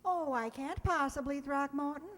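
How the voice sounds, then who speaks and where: An actress playing a genteel, bossy, coquettish fiancée in 1940s radio comedy style, prim and fluttery. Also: female voice, sits high, normal speed, long reverb tail, lightly acted. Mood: hurried, breezy.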